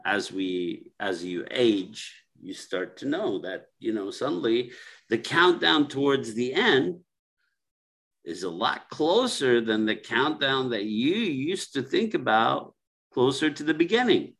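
A man speaking as a guest on a reflective podcast, slow and ruminative, with fillers and repeated phrases. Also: mid-range voice, big pitch swings, creaky, fairly bright tone.

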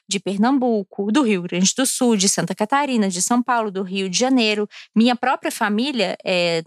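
Uma mulher de Brasília falando de forma casual e cheia de rodeios num vlog, um pouco alegre depois de beber. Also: female voice, medium pitch, no room sound, studio quality. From Brasília, Brazil. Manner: chatty, digressive, with frequent fillers and restarts. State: a little tipsy from drinking. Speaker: vlogger.

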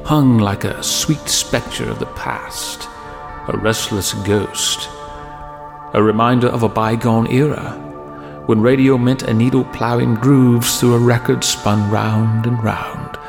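A male horror host reads scripted narration in a gravelly voice. He is measured, ominous and florid, with faint music underneath. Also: mid-range voice, steady tone. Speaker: narrator and host of horror audio drama series.

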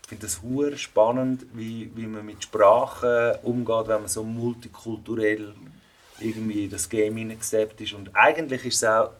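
A man's voice: fairly low pitch, steady tone, moderate pace. A middle-aged man from Switzerland with a Swiss German accent, interviewing a guest in a loose, slangy way full of fillers. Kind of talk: casual interview chat.

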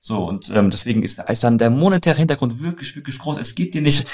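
A man's voice: medium pitch, expressive, fast, a little mellow, husky. A young man from Germany talking casually and emphatically, telling personal stories in a colloquial way and addressing the listener directly. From Germany, born in Iran and raised in Mannheim. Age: young adult. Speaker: co-founder and co-host. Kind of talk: casual conversational storytelling.

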